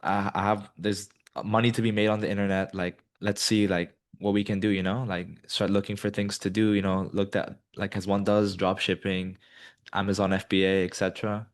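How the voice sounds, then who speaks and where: Young guy from colombia, low voice, rambly and relaxed, lots of um and you know. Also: moderate pace. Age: 20s. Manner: many ums and you-knows, relaxed and rambling. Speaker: guest, business student. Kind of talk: casual interview answers.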